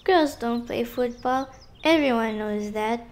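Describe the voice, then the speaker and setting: A woman playing an earnest, childlike, expressive young girl in an animated comic. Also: on the high side, a little slow, close to an everyday voice. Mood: dejected. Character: earnest young girl who loves football. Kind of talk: animated motion comic acting.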